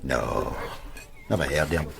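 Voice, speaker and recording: A man's voice: rumbling low, moderate pace, vocal fry, a crowd in the background, exaggerated voice. An actor playing a shifty male informant with a Glasgow accent who talks in a sly, wheedling, hesitant way. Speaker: police informant. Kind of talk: radio drama acting.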